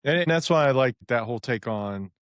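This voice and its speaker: A man who hosts a podcast, talking in a relaxed, affirming way with his guest. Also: medium pitch, fairly quick, smooth, no echo, clean studio sound. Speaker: podcast host. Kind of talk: casual interview chat.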